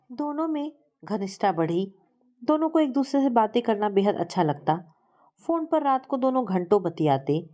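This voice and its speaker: A woman's voice: medium pitch, dark tone. A woman narrating a scripted story as a storyteller.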